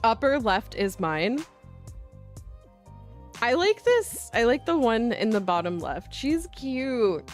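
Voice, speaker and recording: Slow-paced woman game streamer, playful and chatty. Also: a little high, sing-song, faint music behind. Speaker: livestream host playing visual novels. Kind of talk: casual game stream chat with reading aloud.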